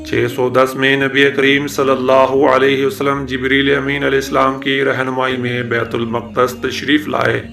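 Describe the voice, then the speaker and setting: A man giving a scripted religious history narration in a formal, measured manner with ornate literary phrasing. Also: medium pitch, creaky, fairly bright tone. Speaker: narrator and presenter of a religious history video series. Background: background music.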